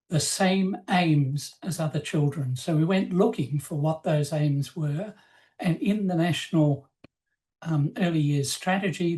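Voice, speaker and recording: A woman presenting in a webinar, explaining slides in a measured, formal, semi-scripted way. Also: deep voice, warm tone, rough recording.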